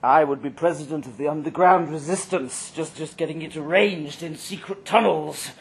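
A man's voice: on the high side, normal speed, creaky. A man playing a drunk, crude, boastful banker with a mean streak, rambling and taunting in a live story reading. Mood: crude boasting. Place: live reading at a London venue. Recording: live event recording, faint background noise.

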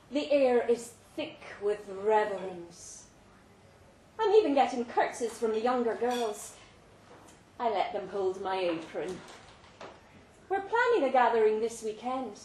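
A woman reading a literary story aloud to a live event, theatrical and deliberate, with dramatic pauses. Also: fairly high pitch, a little mellow. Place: indoor live reading in a pub venue. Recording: roomy live venue, faint background noise.

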